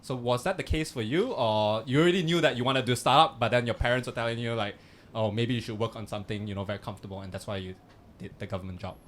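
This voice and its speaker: A man's voice: medium pitch, quick pace. A young man hosting a podcast, chatty and loose in his phrasing, with frequent fillers. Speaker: podcast host. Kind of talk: casual podcast interview.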